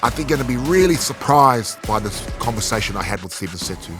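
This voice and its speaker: A man's voice: medium pitch, lively intonation, fast talker, music in the background. A middle-aged man, hosting a casual interview with easy laughter and many fillers. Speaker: podcast host.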